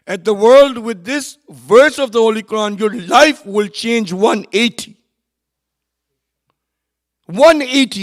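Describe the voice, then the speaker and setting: A man giving a sermon at a memorial gathering, moving between quiet, conversational asides and loud, emphatic lines, with quick runs of recited Arabic verse. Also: sits high. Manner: swings from soft asides to loud emphatic preaching. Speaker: religious speaker at a memorial gathering. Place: hall at a community centre.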